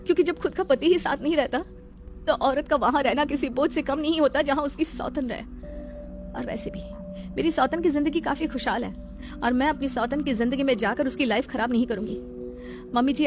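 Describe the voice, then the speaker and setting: A woman's voice: high voice, voice pushed up, lightly acted. A woman voicing a wronged young wife in a moral story, earnest, reproachful and sorrowfully dignified.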